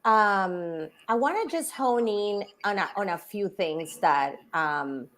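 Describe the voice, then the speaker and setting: A woman's voice: mid-range voice, lively intonation, takes it slowly. Woman hosting a live-stream chat, easygoing and conversational, talking over a video call. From Colombia. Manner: warm, chatty, many "you know" fillers. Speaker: city council candidate hosting a live stream.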